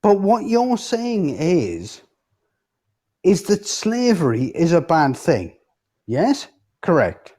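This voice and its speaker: A man's voice: high voice, wildly swooping pitch, takes it slowly, pauses often, warm tone. A man in a heated casual debate, speaking insistently, cutting in and swearing freely.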